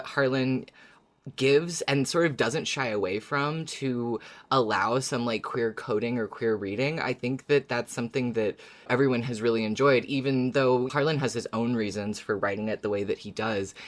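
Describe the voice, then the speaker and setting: Chatty, enthusiastic podcast host. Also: medium pitch. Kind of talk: casual podcast discussion.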